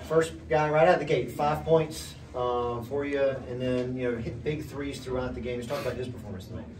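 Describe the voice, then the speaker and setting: A young man interviewing a coach after a game, asking questions with a brisk announcer delivery. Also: mid-range voice, steady tone, darkish tone. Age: young adult. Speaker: team broadcaster interviewer. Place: arena corridor, indoors. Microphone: handheld camera from a few feet off. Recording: roomy arena sound, distant mic, crowd noise.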